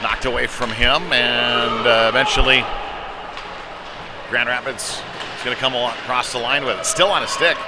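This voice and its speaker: A man's voice: medium pitch, steady tone, normal speed. A man doing live play-by-play of a youth hockey game in short, clipped running phrases. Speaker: play-by-play commentator. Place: live at an ice hockey venue. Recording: noisy rink, clear background noise.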